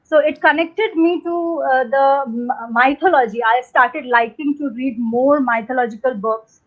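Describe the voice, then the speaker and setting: Woman, young adult, from India, Hindi first language, high voice, hesitant, rambling, many fillers, tinny phone-like line. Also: measured pace. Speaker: panel guest. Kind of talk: unscripted conversational answers.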